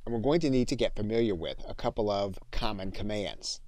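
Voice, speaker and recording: A man narrating an instructional screen tutorial as a teacher, in deliberate, separated phrases on a close mic. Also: fairly low pitch, expressive, darkish tone, no room sound. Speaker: software instructor. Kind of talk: scripted screen tutorial narration with spoken dictation commands.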